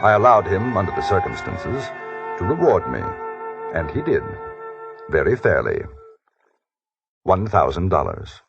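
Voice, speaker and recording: A composed English newspaperman of the Old West, low and very expressive. He speaks with measured, urbane irony in an English accent. It is a muffled 1950s radio western with music. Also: gravelly, exaggerated voice. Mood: measured narrating. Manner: measured, urbane, dryly ironic. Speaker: lead actor and narrator.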